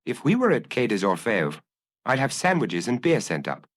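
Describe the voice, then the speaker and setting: A man voicing a patient, humane police detective who questions calmly and courteously in a dramatic audiobook reading. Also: medium pitch, normal speed, no room sound, clean studio sound. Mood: hospitable.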